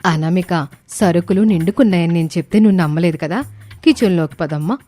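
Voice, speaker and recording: A woman voicing a shrewd aunt who feigns sweet regret, expressive, in an animated moral story. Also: fairly low pitch, fairly quick, soft background music, lightly acted. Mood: sly politeness.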